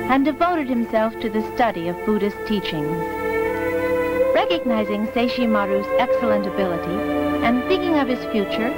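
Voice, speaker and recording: A woman narrating a scripted historical story for an animated film. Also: fairly high pitch, rough recording. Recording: music under the voice.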